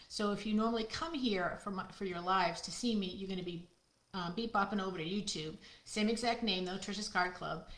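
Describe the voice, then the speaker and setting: A middle-aged woman with a loud, projecting voice, chatting casually with viewers as she hosts a live card-making stream. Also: medium pitch. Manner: chatty, friendly, talks to viewers by name. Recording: echoey room.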